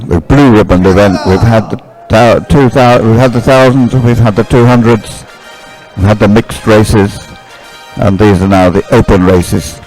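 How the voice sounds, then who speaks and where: A man doing live sports commentary on a race, describing things in a halting way and correcting himself as he goes. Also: lowish voice, a little mellow, rough recording.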